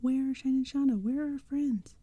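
A woman voicing a terrified child, high and choked. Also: brisk, mellow, slightly rough, no room sound. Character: terrified child narrator calling out.

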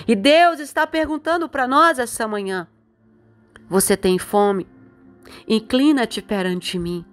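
Brazilian woman bishop, middle-aged, slow, earnest devotional sermon, a fairly high voice over faint music. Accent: Brazilian Portuguese. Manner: slow, earnest and pleading, rising on the voiced words of God. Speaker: bishop preaching a daily devotional. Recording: voice-over with soft music beneath.

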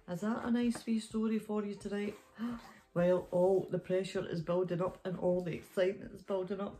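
A woman's voice: mid-range voice, dark tone, poor audio. A middle-aged woman, hosting story time and reading a children's picture book aloud in a bedroom. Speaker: storytime host. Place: small bedroom, sitting up in bed.

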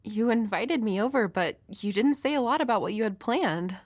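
A woman's voice: a little high, expressive, normal speed, no room sound. A woman playing a nervous, dorky young woman with a crush in an intimate roleplay audio, hesitant, stammering and apologetic. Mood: shy, flustered.

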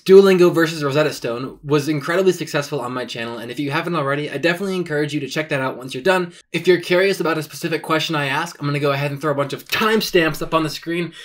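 Young guy talking quick into his webcam, bit high voice, bedroom setup. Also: a bit bright. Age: young adult. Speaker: host and interviewer. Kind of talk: scripted video narration. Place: bedroom with a desk.

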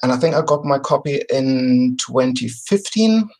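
Measured man on a video call. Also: mid-range voice, expressive, darkish tone. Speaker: podcast guest. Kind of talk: casual explanatory storytelling. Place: home room with bookshelves. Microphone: video call, webcam distance.

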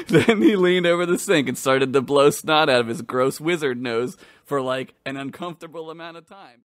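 A man's voice: on the high side, very animated, moderate pace. A man co-hosting a comedy podcast in casual, goofy chat, often half-singing as he jokes. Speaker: podcast co-host.

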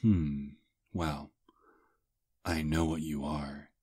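Ancient vampire prince, played by a man: courtly seducer, breathy husky voice, slow intimate ASMR delivery. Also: extremely low pitch, level tone, dark tone, heavily acted. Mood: quietly menacing. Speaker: ASMR roleplay performer. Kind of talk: intimate ASMR roleplay acting.

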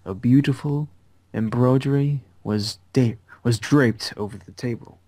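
A man's voice: medium pitch, a little slow, dark tone, no room sound. A man narrates a visual novel, reading aloud in a mid-range voice. The sound is dry, with no room on it.

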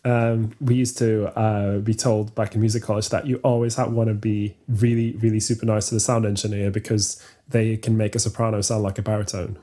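A young man, a native Norwegian speaker and singer, co-hosting a casual video-call chat, explaining things in a measured way with hesitations. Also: on the low side, level tone, warm tone. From Norway. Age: young adult. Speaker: podcast co-host, singer. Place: small room at home. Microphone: headphones with studio mic on video call.

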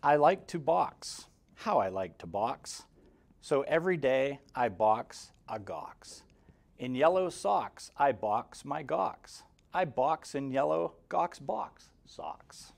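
A man in his forties reads a rhyming picture book aloud for small children. His mid-pitched voice rises and falls in an expressive sing-song. He keeps a slow, measured pace and gives key words clear emphasis. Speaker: guest story reader for kindergarteners. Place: indoor room, seated in front of a banner. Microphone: lapel mic.

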